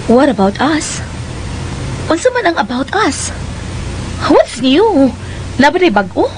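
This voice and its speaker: A woman's voice: high voice, big pitch swings, takes it slowly, full character voice. A woman playing a guarded, hurt young woman in a radio drama, curt and evasive. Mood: guarded, upset. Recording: clear hiss-like noise behind the voice.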